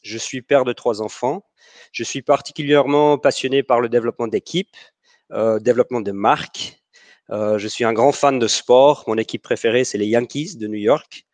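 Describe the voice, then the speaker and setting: A man's voice: mid-range voice, fairly even intonation, normal speed, darkish tone, dry recording. Middle-aged man from the US on a headset. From United States. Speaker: company director, panelist. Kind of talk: self-introduction on webinar. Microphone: headset on video call.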